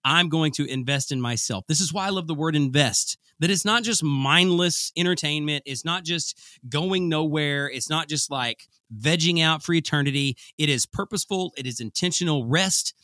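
A man co-hosting a podcast, chatting casually in a playful, excitable way with sing-song emphasis. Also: on the high side, normal speed, no room sound, clean studio sound. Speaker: podcast co-host.

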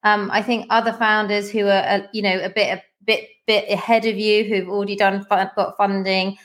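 A woman's voice: medium pitch, flat delivery, brisk, fairly bright tone. Chatty, encouraging woman interviewer. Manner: chatty, encouraging, seeks agreement. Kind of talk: conversational interview.

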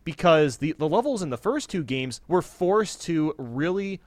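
A man's voice: fairly high pitch, expressive, normal speed, a little mellow, dry recording. A man in his twenties talking casually and giving his opinions in a podcast conversation. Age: 20s.

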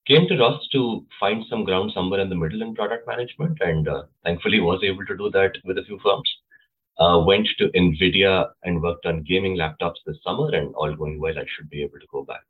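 A man explains things in a relaxed way as a podcast guest. His voice is very low and husky. It comes through a tinny, phone-like line. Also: brisk. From India. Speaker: guest, club co-president. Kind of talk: interview answers.

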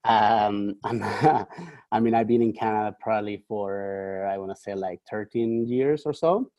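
A man's voice: fairly low pitch, steady tone, unhurried, dark tone, dry recording, muffled recording. A man in his thirties from Mexico with a Mexican Spanish accent, a podcast guest telling his story hesitantly with lots of ums. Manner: hesitant, many ums and restarts, self-deprecating humor. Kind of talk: casual interview storytelling.

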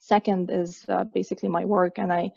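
A woman from Egypt in her thirties talks haltingly, full of fillers and restarts. Her voice is heavily gravelly and mellow. The recording is dry and dull. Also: lowish voice, level tone, dry recording. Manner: hesitant, many fillers and restarts. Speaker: guest. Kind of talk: conversational interview.

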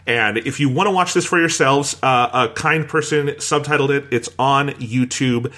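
A man's voice: mid-range voice, normal speed, sharp tone. A man hosting a podcast in a conversational style, introducing the show and guests. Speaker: podcast host.

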